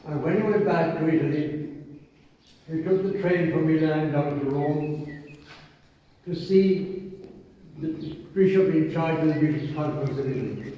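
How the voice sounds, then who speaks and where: A man's voice: a little high, measured pace, pauses often, a little hiss or hum. An older man with an Irish accent giving an informal talk to a group as a priest, telling stories with asides. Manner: conversational, storytelling with asides and quotes. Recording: echoey hall, distant mic, dull sound.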